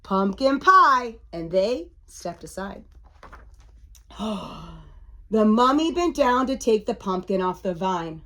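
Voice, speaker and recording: A woman's voice: mid-range voice, very animated, a little slow, few pauses, bright, forceful tone. A woman reading a children's picture book aloud to preschoolers, dramatic and emphatic, asking the listeners questions. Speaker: story reader for preschoolers.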